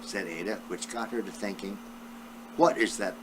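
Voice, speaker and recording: A man's voice: on the low side, normal speed, noisy background. An elderly man reading a children's storybook aloud, talking directly to his young listeners as a great-grandfather would. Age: 70s or older.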